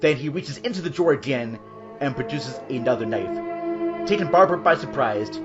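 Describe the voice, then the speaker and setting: Male horror narrator over loud music. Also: medium pitch, lively intonation, moderate pace, dull audio. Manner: dramatic, descriptive storytelling. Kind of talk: scripted horror audio drama narration. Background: loud dramatic music.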